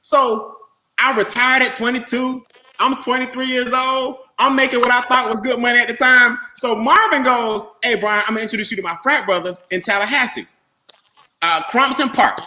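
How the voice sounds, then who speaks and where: A man telling a long, animated story on a group video call as host and trainer, loud and laughing, with casual slang. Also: extremely high pitch, moderate pace. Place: home room on video call. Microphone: webcam or laptop mic on video call. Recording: echoey room, faint noise.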